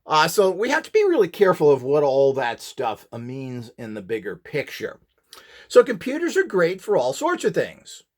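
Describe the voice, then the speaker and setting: A middle-aged man giving an informal, opinionated lecture as an instructor, rambling off the cuff in a blunt, scornful and emphatic way. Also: a little high. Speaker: instructor and host of a how-to video series.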